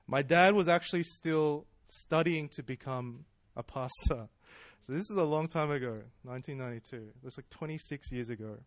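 A man's voice: fairly high pitch, moderate pace, hardly any pauses, dry recording. A man, preaching in a chatty, self-deprecating storytelling way that often breaks into laughs. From Australia. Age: 30s. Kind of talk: personal storytelling sermon.

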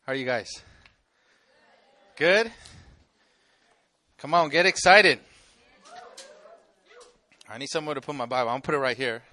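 A man's voice: medium pitch, animated, moderate pace, long pauses, bright, forceful tone. A man in his forties, a campus pastor, giving a casual, joking youth sermon to a live audience. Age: 40s. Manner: chatty, jokey, restarts often.

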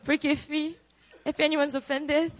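A woman's voice: high voice, level tone, unhurried, poor audio. A young woman with a Korean accent preaching at a prayer meeting, candid and chatty, often laughing at herself.

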